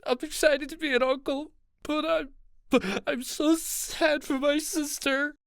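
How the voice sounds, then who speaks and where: A man's voice: extremely high pitch, steady tone, a little slow, fairly bright tone, no echo, clean studio sound, voice pushed up, heavily acted. A man voicing a grief-stricken young uncle in an audiobook, tearful and stammering. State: crying.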